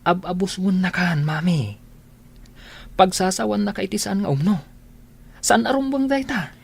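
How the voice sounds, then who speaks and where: A man acting a gentle young son devoted to his mother in an Ilocano radio drama, soft-hearted and earnest, with an Ilocano Filipino accent. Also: high voice, normal speed, creaky, slightly rough, full character voice. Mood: protective.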